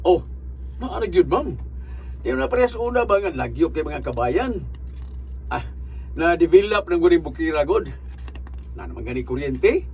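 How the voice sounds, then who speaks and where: A man's voice: a little high, very animated, normal speed, pauses often, breathy edge, lo-fi sound, exaggerated voice. A man playing a friendly rural driver in a radio drama, folksy and full of cautioning advice. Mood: chatty. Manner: folksy, advising.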